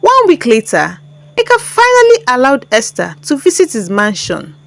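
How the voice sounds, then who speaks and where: A woman's voice: sits high, huge pitch swings, moderate pace, gravelly. A woman with a Nigerian accent telling a story in a dramatic scripted narration.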